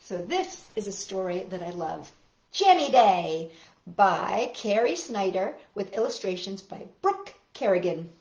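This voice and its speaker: A woman's voice: mid-range voice, very animated, unhurried, a little mellow, echoey room, lo-fi sound. A woman hosting a children's story time, reading aloud and chatting playfully and expressively straight to young listeners.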